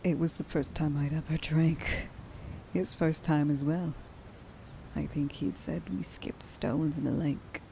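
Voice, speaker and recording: A woman playing a tender, emotional young huntress in an ASMR roleplay, speaking softly and breathily and often dropping toward a whisper. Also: deep voice, fairly even intonation, a little slow, creaky, a little hiss or hum. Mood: wistful, remembering. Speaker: voice actor.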